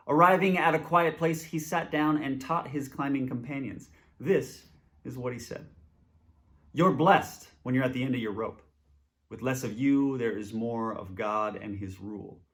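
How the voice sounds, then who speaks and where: A man's voice: medium pitch, moderate pace. A man speaking as a church presenter, giving an informal introduction and reading scripture aloud.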